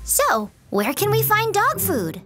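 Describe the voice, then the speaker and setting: Eager, very high-pitched little puppy. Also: female voice, wildly swooping pitch, slow, over music, full character voice. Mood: curious. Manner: perky, childlike. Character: eager, bossy little female puppy leader. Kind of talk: children's cartoon voice acting.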